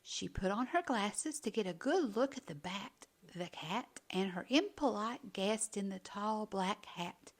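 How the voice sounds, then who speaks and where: A woman reading a children's picture book aloud as a storyteller, in an animated narrating style. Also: mid-range voice, a little slow, few pauses.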